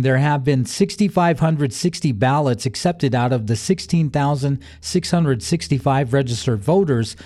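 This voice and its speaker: A man's voice: fairly high pitch, dark tone. A man reading a scripted local news and sports bulletin as the newsreader. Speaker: local radio news reader.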